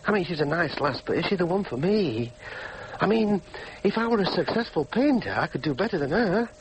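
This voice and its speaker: An actor playing a sullen, self-doubting young working-class son with a Yorkshire accent, brooding and argumentative in a naturalistic drama. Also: male voice, sits high, animated, a little fast. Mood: brooding, wistful. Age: young adult. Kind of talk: naturalistic drama acting with monologue. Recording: faint background noise.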